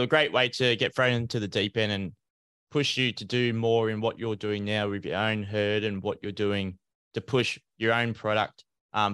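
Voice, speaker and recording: A man who hosts a farming podcast, asking questions in a relaxed conversational way. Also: on the low side, smooth, no room sound. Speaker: podcast host and farmer. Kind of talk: casual interview hosting.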